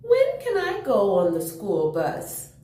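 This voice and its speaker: A woman's voice: medium pitch, huge pitch swings, slow, warm tone, far from the mic. A woman voicing an eager, impatient little boy in a storybook, with a pleading manner, in a roomy, echoing space.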